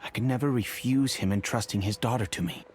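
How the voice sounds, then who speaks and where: An actor playing a wealthy older father in a game, recounting the past in an earnest, measured, formal way. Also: lowish voice, a little hiss or hum, natural voice. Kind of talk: dramatic game dialogue.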